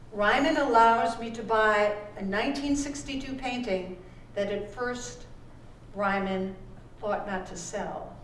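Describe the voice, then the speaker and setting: A woman reads her own literary prose aloud at a live event, slowly and deliberately, in a fairly high voice. She is picked up from a distance in an echoing room. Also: a bit bright. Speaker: author reading from own book. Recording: roomy, distant microphone with background noise.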